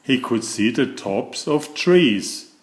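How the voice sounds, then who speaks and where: A man reading a children's picture book aloud in a deliberate, expressive storytelling manner. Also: medium pitch, reverberant.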